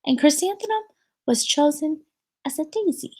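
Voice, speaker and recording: A woman's voice: high voice, animated, normal speed, dark tone, slight background noise. A woman reading a children's picture book aloud expressively, as a teacher would for students.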